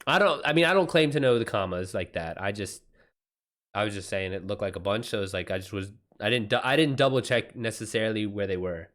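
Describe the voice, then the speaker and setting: A man's voice: fairly low pitch, fast talker, fairly bright tone. A man hosting a livestream, chatting casually with viewers in loose slang and swearing freely. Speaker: livestream host.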